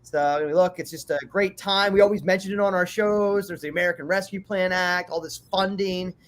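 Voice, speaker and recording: A man co-hosting a podcast, talking in an energetic, enthusiastic way in casual chat. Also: high voice, brisk. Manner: energetic, enthusiastic sign-off talk. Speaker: podcast co-host.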